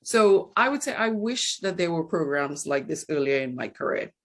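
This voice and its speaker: A woman's voice: deep voice, lively intonation, moderate pace, fairly bright tone. A woman in her forties from Trinidad and Tobago, an HR executive giving a reflective personal talk at a launch event. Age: 40s. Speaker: guest speaker, HR executive.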